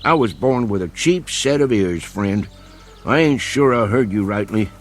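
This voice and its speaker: An actor playing a stubborn, plain-spoken country hunter in a vintage TV drama, with a rural Southern American accent and homespun manner. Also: male voice, lowish voice, lively intonation, moderate pace, soft background music, natural voice. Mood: amiable, puzzled. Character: stubborn, plain-spoken backwoods male coon hunter, loyal to dog. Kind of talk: vintage television drama acting.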